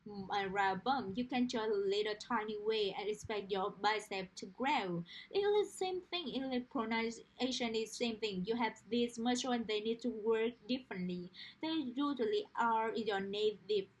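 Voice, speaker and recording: Woman, Romanian accent, slightly high voice, earnest pronunciation teacher talking to camera. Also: normal speed, hardly any pauses, poor audio. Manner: earnest, explanatory, uses everyday analogies. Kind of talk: direct-to-camera teaching monologue.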